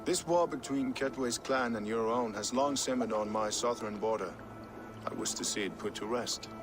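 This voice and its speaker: An actor playing a courteous, diplomatic Viking king in a video game, speaking in a measured, formal way. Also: medium pitch, music in the background, close to an everyday voice. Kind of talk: video game cutscene acting.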